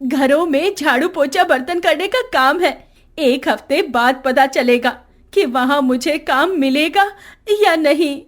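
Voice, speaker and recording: Woman acting an anxious, high-voiced village wife. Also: a little slow. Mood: worried. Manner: worried, earnest, emotional. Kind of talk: Hindi moral-story cartoon acting.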